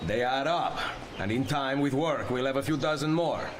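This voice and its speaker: An actor playing a defiant Renaissance assassin in a video game, with a firm, declarative manner. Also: male voice, medium pitch, normal speed, slight background noise, natural voice. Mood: resolute. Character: resolute, defiant Renaissance Italian assassin hero. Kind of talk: video game cutscene acting. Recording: game audio under loud music.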